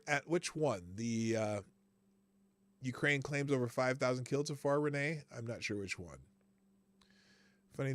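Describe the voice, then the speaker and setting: Halting male live-stream news host. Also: medium pitch, a bit bright, no echo. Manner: halting, many restarts and fillers, reads articles aloud, chats with viewers. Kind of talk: live-stream news commentary, reading headlines and chat.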